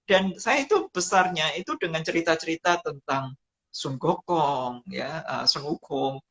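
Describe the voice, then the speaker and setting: A man from Java, Indonesia, talking as the guest in a live-streamed interview, telling stories reflectively and pausing often on hesitant "eh" sounds between phrases. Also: on the high side, bright, forceful tone. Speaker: guest, writer and photographer. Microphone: video call.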